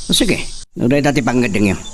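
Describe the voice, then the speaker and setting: A man's voice: medium pitch, lively intonation, quick pace, background noise, close to an everyday voice. A man playing a commanding young master in a radio drama who gives orders and scolds his servant.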